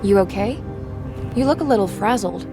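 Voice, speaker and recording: Casting: female performer as young woman sleuth in a game cutscene, earnest, measured, mid-pitched, music underneath. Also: animated, lightly acted. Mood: puzzled, curt. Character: worried, determined young woman investigating her family's mystery. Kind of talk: video game cutscene acting.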